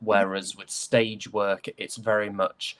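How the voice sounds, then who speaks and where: A young man with a Northern English accent chatting casually as an interview guest, often pausing to find his words. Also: fairly low pitch, level tone, fairly bright tone. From West Yorkshire, England.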